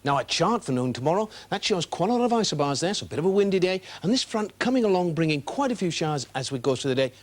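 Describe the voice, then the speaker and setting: A man with a British accent presenting a television weather forecast in an informal, explanatory style. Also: a little high.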